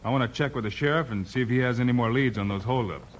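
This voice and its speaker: An actor playing a calm, authoritative cowboy lawman hero, measured and reassuring, in a 1950s radio western. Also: mid-range voice, close to an everyday voice. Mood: calm, friendly. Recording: clearly noisy old radio recording.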